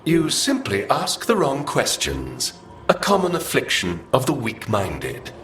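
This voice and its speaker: Ancient game spirit, scornful, pompous, male, very animated intonation, echoey. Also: medium pitch, measured pace, a little hiss or hum. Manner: condescending, pompous, formal. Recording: echoing voice with faint music.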